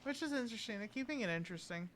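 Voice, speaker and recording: A woman's voice: a little high, animated, racing, a little hiss or hum. A woman doing casual live gameplay commentary as a streamer, rambling and thinking aloud. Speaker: game streamer. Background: loud video game music and battle sounds.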